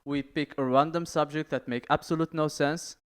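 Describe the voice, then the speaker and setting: A man's voice: on the high side, steady tone, normal speed, a bit bright, smooth. Upbeat man hosting a podcast. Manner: upbeat, explains the rules, laughs along. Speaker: podcast host.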